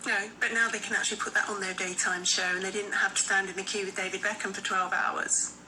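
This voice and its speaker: A woman's voice: mid-range voice, little pitch movement, fast, pushed and bright, slight background noise, rough recording. A woman with a British accent chatting casually and giving her opinions as a television newspaper review guest. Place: television studio.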